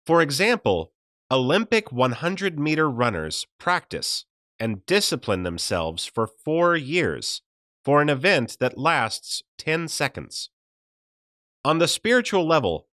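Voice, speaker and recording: A man reading a religious study audiobook as a scripted narration, measured and enunciated, with deliberate pauses. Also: medium pitch, a bit bright, no room sound, clean studio sound.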